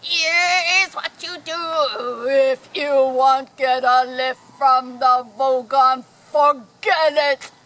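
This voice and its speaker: A woman playing a talking electronic guidebook in a radio play, narrating in a droll, deliberate and informative way. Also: high-pitched, fairly even intonation, a bit bright, heavily acted.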